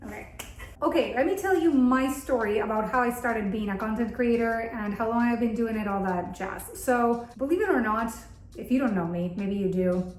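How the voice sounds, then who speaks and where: A woman's voice: fairly high pitch, moderate pace. A 38-year-old woman from Argentina, a native Spanish speaker, talking casually and candidly to viewers as an upbeat vlog host. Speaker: content creator and video host. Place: bathroom at home, indoors. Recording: echoey indoor room.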